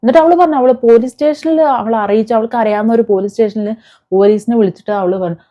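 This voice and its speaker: Female video commentator, Kerala Malayalam accent, mellow timbre, indignant and informal, on a dull recording. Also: a little high, very fast. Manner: indignant, scolding, with rhetorical questions. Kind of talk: informal reaction commentary to viewers. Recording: dull, muffled lo-fi sound.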